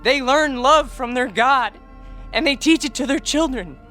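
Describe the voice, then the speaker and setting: A man's voice: very high voice, measured pace, pushed and bright, heavily acted. An actor in a radio drama playing a desperate young alien defector who stammers as he pleads for humankind. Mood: earnest pleading. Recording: music under the voice.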